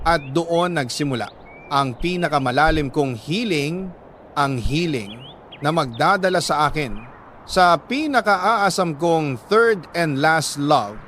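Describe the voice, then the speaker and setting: Filipino guy radio host reading a sad love letter, voice a bit high, solemn n sentimental, slight background noise. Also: lively intonation, fairly bright tone. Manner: solemn, sentimental storytelling with deliberate pauses. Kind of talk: dramatic scripted narration of a confessional love letter.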